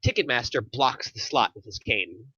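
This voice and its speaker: A man's voice: medium pitch, expressive, moderate pace, sharp tone, husky, no room sound. A man reading stage directions as scripted narration at a table read, on a muffled microphone.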